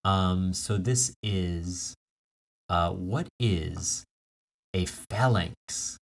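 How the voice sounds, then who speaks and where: A man's voice: sits low, drawn-out words, creaky. A man hosting a casual comedy podcast quiz, playfully teasing the others as he goes. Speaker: comedy podcast host and quizmaster.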